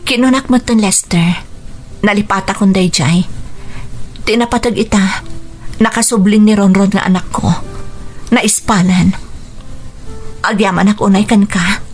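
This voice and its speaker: A woman's voice: mid-range voice, steady tone, measured pace, pauses often, faint music behind, lightly acted. An actress playing an emotional, pleading single mother in a radio drama. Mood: earnest. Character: anxious single mother, middle-aged.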